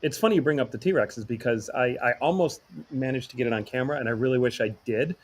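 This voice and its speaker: A man's voice: medium pitch, fast, breathy edge. A man co-hosting a podcast, sounding nasal while getting over the flu, chatting and joking in a rambling, casual way. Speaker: podcast co-host. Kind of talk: casual chat.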